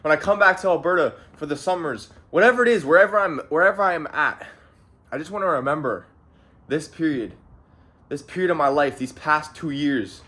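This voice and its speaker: A man's voice: a little high, animated, a little fast, sharp tone. A young man in his late teens from Canada, talking casually and profanely to camera in a motivational, rambling monologue, often repeating phrases and saying "bro". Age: young adult. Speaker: vlogger. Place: at home.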